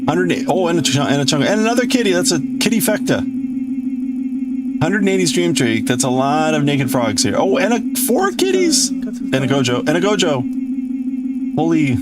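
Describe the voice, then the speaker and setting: A man's voice: medium pitch, very noisy. A man hosting a casual livestream, chatting in a rambling, exclamatory way as he greets and answers viewers. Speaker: livestream host. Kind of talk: casual livestream chat, crafting and reading out viewer chat.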